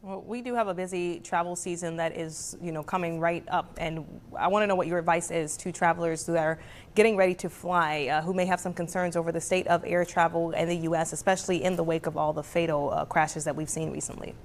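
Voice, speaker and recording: A woman's voice: on the low side, steady tone, a little fast, slight background noise. A woman with an American accent, working as a news anchor and interviewing a guest on a broadcast.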